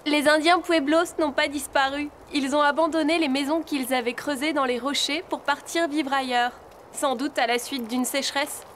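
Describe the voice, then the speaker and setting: A woman voicing a confident, knowledgeable girl rider in a French animated series, explaining things in a self-assured, reassuring way. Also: sits high, normal speed, slight background noise.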